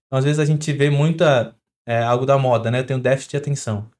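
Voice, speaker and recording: A young adult man with a Brazilian Portuguese accent, a doctor guest on a podcast, explaining with everyday examples in a quick, chatty way. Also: mid-range voice, fairly even intonation, darkish tone. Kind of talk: podcast interview.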